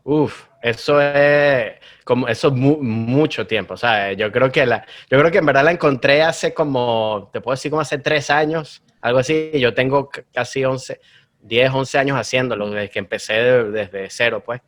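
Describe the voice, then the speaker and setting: A man with a Venezuelan accent talks in a loose, rambling way as a guest on an interview. His voice sits at an ordinary middle pitch, and his delivery is casual and unhurried. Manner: relaxed, rambling storyteller. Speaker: stand-up comedian guest. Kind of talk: casual conversation.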